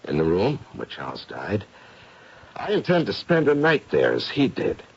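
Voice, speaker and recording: An actor playing a courteous, measured gentleman investigator of the supernatural in an old radio mystery. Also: on the low side, wildly swooping pitch, vocal fry, slightly rough, exaggerated voice. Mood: grave. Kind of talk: old-time radio drama acting. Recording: dull, faintly noisy old radio recording.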